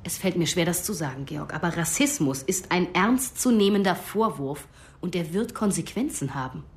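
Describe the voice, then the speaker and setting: A woman's voice: on the low side, moderate pace, close to an everyday voice. An actress playing an earnest schoolteacher in a radio drama, talking patiently but firmly to her students. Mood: gentle. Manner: patient, firm, calming. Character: earnest female schoolteacher mediating conflict. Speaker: teacher.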